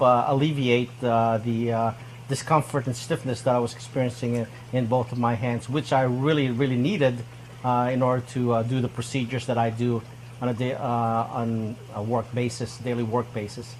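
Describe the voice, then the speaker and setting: A man's voice: medium pitch, fairly even intonation, moderate pace, slight background noise, low-quality recording. Hesitant man giving a testimonial. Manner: hesitant, frequent fillers between phrases. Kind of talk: unscripted spoken testimonial.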